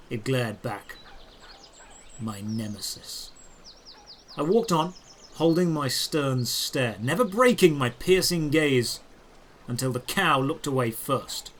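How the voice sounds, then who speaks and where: A man narrating a first-person fantasy audio drama in a deliberate, descriptive way with dramatic pauses. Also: on the high side, a bit bright. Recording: clear background noise with birdsong. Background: birdsong and outdoor ambience effects.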